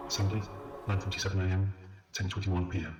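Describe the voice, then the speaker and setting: A man's voice: low voice, level tone, brisk. A man reading out a scripted timetable announcement in a matter-of-fact way. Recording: distant voice under loud music.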